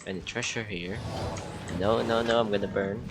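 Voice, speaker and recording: A man's voice: on the low side, fairly even intonation, moderate pace, very noisy. A man giving casual, laid-back commentary while playing a video game, making offhand remarks. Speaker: gamer. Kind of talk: casual let's-play commentary.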